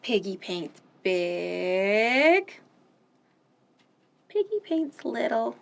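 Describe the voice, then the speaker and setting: A woman hosting a children's storytime, reading picture books aloud gently and asking the kids questions. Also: on the high side, very animated, vocal fry. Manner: gentle, slow, sing-song, asks children questions.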